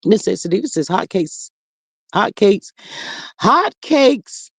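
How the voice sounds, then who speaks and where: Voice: mid-range voice, very animated, takes it slowly, creaky, husky, no room sound, heavily acted. A performer voicing an older mother who stammers with fillers and calls everyone honey and child while chatting over viewer comments on a livestream. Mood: gossipy chatty. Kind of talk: improvised comedic character livestream.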